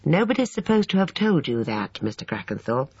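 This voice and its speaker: Man voicing a prim young housekeeper, raised light pitch, dull lo-fi audiobook. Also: big pitch swings, fairly quick, darkish tone, husky, no echo, exaggerated voice. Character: sensible young housekeeper woman, calm and severe.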